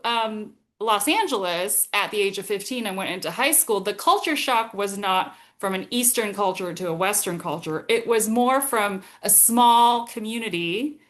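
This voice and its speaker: A woman in her forties, raised in Singapore, talks with a General American accent. She sounds reflective and conversational, laughing lightly as she goes. Her voice sits at an ordinary middle pitch. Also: expressive, unhurried, hardly any pauses. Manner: warm, reflective, laughs often, some hesitations. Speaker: guest, consultancy founder. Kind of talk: conversational interview.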